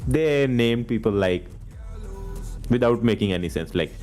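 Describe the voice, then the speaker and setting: A man who is not a native English speaker, co-hosting a live stream, explaining things in a measured way with many fillers like like and basically. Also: medium pitch, lively intonation, a little mellow, faint music behind. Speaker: livestream co-host. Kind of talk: casual live stream chat.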